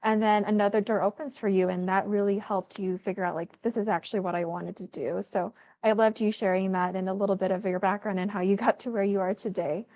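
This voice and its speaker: A woman hosts a conversational interview in a medium-pitched voice. She affirms what her guest says and recaps it in casual phrasing, then moves on to questions. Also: steady tone, fairly quick, hardly any pauses, a little mellow. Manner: affirming, recaps answers before asking. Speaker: interviewer, moderator.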